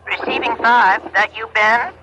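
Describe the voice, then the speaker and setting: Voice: mid-range voice, fairly even intonation, measured pace, highs cut off, noisy background. An actor playing a folksy, matter-of-fact police dispatcher, clipped and procedural, heard over a two-way radio in a drama.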